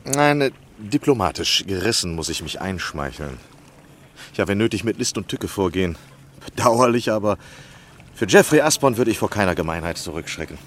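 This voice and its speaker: Obsessed, charming man of letters, deep voice, earnest reflective delivery, vintage radio play with slight hiss. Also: big pitch swings, normal speed. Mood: scheming. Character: obsessed, cunning American literary critic, earnest and charming. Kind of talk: 1960s German radio drama acting. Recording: faintly noisy 1960 radio recording.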